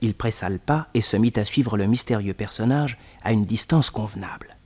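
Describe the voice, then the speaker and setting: A man's voice: on the low side, moderate pace, a little mellow. A man narrating a French detective serial in a dramatic, scripted storytelling style.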